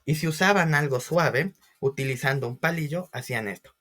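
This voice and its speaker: A man presenting an informal online class as a teacher, expressive and speaking directly to his listeners. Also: on the high side, fairly quick, background noise. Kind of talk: informal educational lesson for video.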